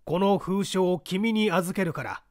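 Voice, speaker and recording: A man's voice: sits high, unhurried, a little mellow, no room sound, clean studio sound, pitched above the performer's own voice. A man voicing a grave dying man who solemnly and insistently entrusts a secret in a mystery audiobook.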